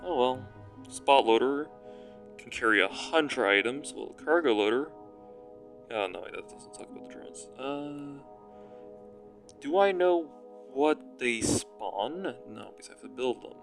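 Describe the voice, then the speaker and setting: A young man with a raspy voice, casually commenting on a factory-building game as he plays and rambling, self-mocking, while he thinks aloud. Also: mid-range voice, lively intonation, measured pace, faint music behind. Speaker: gaming commentator.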